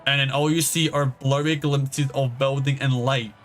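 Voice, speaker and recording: A man's voice: medium pitch, fairly even intonation, moderate pace, soft background music. A man giving casual live game commentary, rambling and thinking aloud as he goes. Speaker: streamer.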